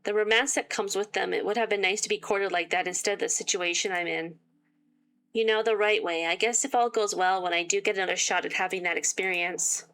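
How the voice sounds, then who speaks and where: A woman reading a visual novel aloud with sarcastic asides, her voice getting worn and hoarse. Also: mid-range voice, fairly even intonation, brisk, a bit bright. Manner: reads game text aloud and breaks off with sarcastic asides. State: losing her voice. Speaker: gaming YouTuber.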